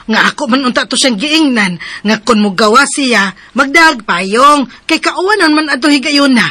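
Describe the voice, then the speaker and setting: An actress playing a fretful, worried aunt in a radio drama. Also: female voice, high voice, animated, normal speed, bright, forceful tone, dry recording, heavily acted. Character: worried middle-aged aunt, fretful and scolding.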